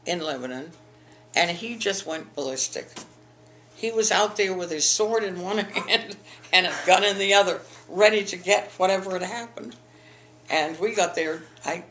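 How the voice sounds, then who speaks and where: A woman's voice: medium pitch, a bit bright, slight background noise. An older woman from the eastern United States, telling her school memories in an oral history interview, rambling with frequent restarts. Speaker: interviewee, alumna.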